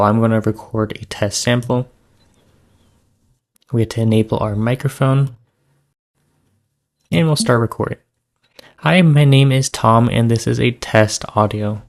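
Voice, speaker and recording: Man presenting a casual software tutorial. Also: mid-range voice. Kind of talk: casual step-by-step screen tutorial narration.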